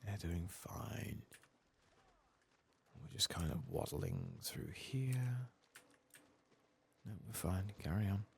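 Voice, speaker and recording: A man giving soft-spoken, sometimes whispered ASMR commentary as he plays a video game, murmuring to himself and casually swearing, close to the microphone. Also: low voice, wildly swooping pitch, slow pace, gravelly, pauses often, a little hiss or hum. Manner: murmured running self-talk, casually sweary. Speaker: streamer playing a video game.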